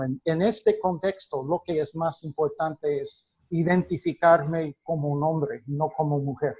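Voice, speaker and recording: A man teaching a class, lecturing slowly and haltingly with frequent restarts and questions to his students in a classroom. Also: fairly high pitch, a little mellow. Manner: slow, halting delivery with many restarts, quizzing the class. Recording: dull lo-fi recording.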